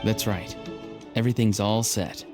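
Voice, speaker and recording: A man playing an earnest, thoughtful young dream interpreter in a video game, explaining things in a measured way. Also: lowish voice, natural voice. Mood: earnest, explanatory. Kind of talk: English video game voice acting. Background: faint game music.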